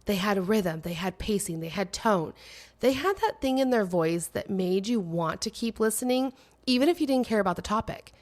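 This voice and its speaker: A woman's voice: mid-range voice, expressive. Nasal, slightly crackly woman podcaster. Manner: earnest, emphatic, with dramatic pauses. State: a little crackly, congested voice. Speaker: podcast host. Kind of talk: personal solo podcast monologue.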